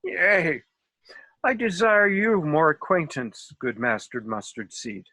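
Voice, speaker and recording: A man's voice: on the high side, slow, sharp tone, no echo, full character voice. A man playing a foolish, self-assured but courteous rustic workman in a comic Shakespeare table read, with theatrical delivery over a video call.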